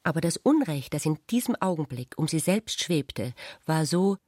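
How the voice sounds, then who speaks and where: A narrator reading literary prose for a radio play in a measured, formal way. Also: mid-range voice, big pitch swings, darkish tone, no echo, clean studio sound.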